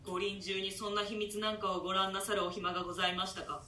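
A polite old-style jailer, high bright voice, echoey and kinda far off. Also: male voice, flat delivery, slight background noise. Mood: deferential. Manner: formal servile speech, measured. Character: polite male jailer, deferential.

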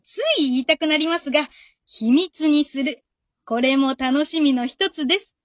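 An amateur actress playing a friendly, encouraging female fan who talks politely and gives gentle advice in a radio drama. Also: sits high, normal speed, dry recording, highs cut off, close to an everyday voice. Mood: knowing, secretive. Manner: polite, supportive, gently advising.

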